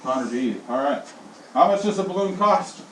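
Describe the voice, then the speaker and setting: A male elementary school teacher leading his class in call-and-response, swinging his voice high and low as he drills them. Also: expressive, moderate pace, fairly bright tone. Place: classroom, indoors. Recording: echoey classroom from far off, with noise.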